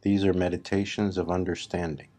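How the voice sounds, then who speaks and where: A man leading a guided meditation as a spiritual teacher, speaking deliberately in short phrases with long pauses between them. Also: low voice, level tone, warm tone. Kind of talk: slow guided meditation talk.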